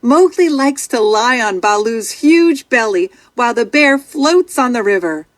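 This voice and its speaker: A woman narrating a children's storybook from a script in an expressive, dramatic storytelling manner. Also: fairly high pitch, a little slow, dry recording, studio quality.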